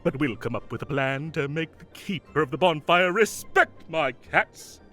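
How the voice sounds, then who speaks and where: A man playing a mischievous, evasive fae king who is secretly fond, theatrical and halting when flustered, in an audio roleplay. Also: on the high side, expressive, bright, forceful tone, faint music behind. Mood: eager, scheming. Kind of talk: scripted audio roleplay acting.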